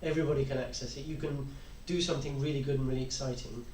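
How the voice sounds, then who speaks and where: A man's voice: mid-range voice, fairly even intonation, very fast, a little mellow. Distant male panelist in a roomy space. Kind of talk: panel discussion, opinionated explaining. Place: live convention panel room. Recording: roomy, distant mic, faint noise.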